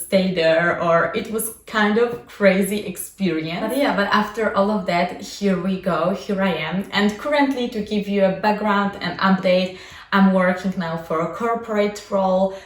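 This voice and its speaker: Woman, young adult, Polish native speaking, casual vlog chat, lots of fillers, roomy echo, a bit off-mic. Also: mid-range voice. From Poland. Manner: chatty, frequent fillers like "like" and "you know". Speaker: vlogger and coach. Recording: echoey room, mic at a distance.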